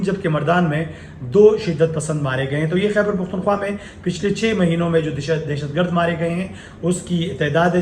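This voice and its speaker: A man with a Pakistani accent presenting current affairs commentary, partly reading and partly speaking off the cuff with halting filled pauses. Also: high voice. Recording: echoey room with faint background noise.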